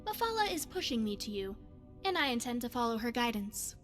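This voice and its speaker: A woman playing a poised, secretive spy devoted to her goddess in a video game, speaking in formal, measured phrases. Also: fairly high pitch, sing-song, fairly bright tone, faint music behind. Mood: devout, persuasive. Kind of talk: scripted video game character dialogue.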